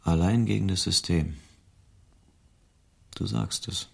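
An actor playing a disillusioned but humane private detective, laconic and dry, in a radio drama. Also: male voice, low voice, slow pace, gravelly, exaggerated voice. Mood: bitter, weary. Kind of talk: German radio drama acting.

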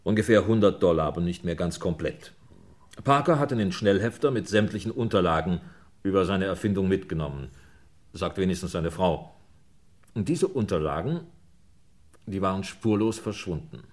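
An actor playing a brisk, dry homicide inspector in a German radio crime play, musing in clipped phrases with little questioning grunts. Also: male voice, deep voice, lively intonation. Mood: pondering.

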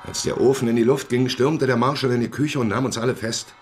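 A man's voice: medium pitch, fairly even intonation, fairly quick, slight background noise, lightly acted. An actor playing a naive, trusting and stubborn man who has been swindled, earnest and defensive, in a German radio crime drama.

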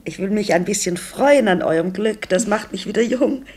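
A woman's voice: medium pitch, moderate pace, darkish tone, close to an everyday voice. An actress playing a doting, fussy middle-aged mother in a mid-century radio play, effusive and sentimental. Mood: delighted, gushing. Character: doting, fussy, increasingly uneasy visiting mother. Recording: noisy vintage radio play recording.

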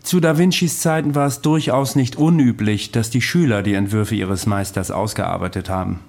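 A man's voice: fairly low pitch, moderate pace, gravelly, darkish tone. An actor playing a dry, candid immortal witness recounting his long past in a conversational radio drama. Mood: wry, reminiscing. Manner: matter-of-fact, wry.

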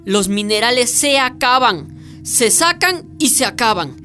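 A woman's voice: fairly high pitch, lively intonation, a little slow, sharp tone, soft background music. An actress playing an outspoken woman activist who speaks indignantly in a radio drama. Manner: indignant, emphatic.

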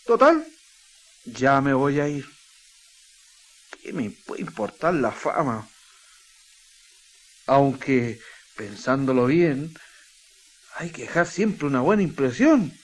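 Swooping, proud young Chilean miner. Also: male voice, mid-range voice, big pitch swings, takes it slowly, pauses often, poor audio. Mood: jaunty resolve. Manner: colloquial, exclamatory. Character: proud young male saltpetre miner, carefree and folksy. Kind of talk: radio drama acting.

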